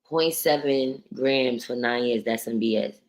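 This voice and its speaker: Deep-voiced blunt woman livestream host. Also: measured pace, a little mellow, rough recording. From New York. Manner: blunt, profane and outspoken. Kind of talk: casual livestream chat reading viewer comments.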